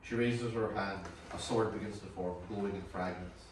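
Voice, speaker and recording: A man's voice: deep voice, fairly even intonation, normal speed. A man narrating stage directions at a scripted table read. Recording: very echoey room, far mic, clear noise.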